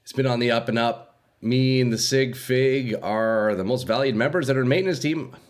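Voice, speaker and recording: A man's voice: mid-range voice, moderate pace. A young man streaming a game live with a stuffy nose, chatting with viewers in a laid-back, slangy way at a desk microphone.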